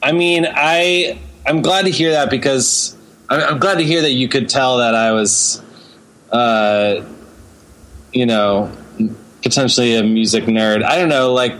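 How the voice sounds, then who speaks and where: A man speaking as an interview guest in a casual, halting and thoughtful way. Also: mid-range voice, a bit bright, low-quality recording. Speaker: interview guest, creative director.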